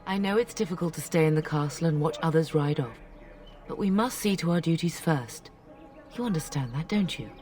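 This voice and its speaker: An actress playing a middle-aged noblewoman mother, gentle but firm, in a video game. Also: sits low, lively intonation, brisk, far from the mic, soft background music. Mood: gently firm.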